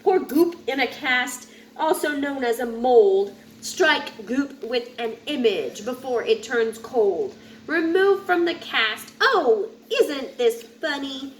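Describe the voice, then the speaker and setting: A woman reading a rhyming children's picture book aloud in an expressive, sing-song way, occasionally stumbling over a word. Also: high voice, slow pace. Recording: roomy echo with faint background noise.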